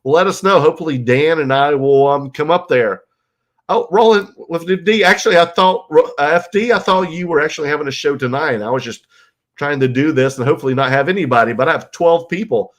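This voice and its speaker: A man with a stuffed-up, congested voice hosts a rambling, casual livestream from a guest bedroom at home, chatting loosely and reading viewer comments aloud. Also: fairly high pitch. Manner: rambling, loose, full of ums and you-knows. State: stuffed-up nose and congested from illness. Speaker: livestream host.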